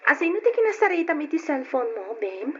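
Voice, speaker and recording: A woman's voice: high voice, sing-song, brisk, a bit bright, faint music behind. A woman playing an affectionate young bride-to-be in an Ilocano radio drama, with an Ilocano accent and a sweetly emotional manner. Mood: concerned, affectionate. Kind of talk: old-style Ilocano radio drama acting. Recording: muffled, noisy radio drama recording.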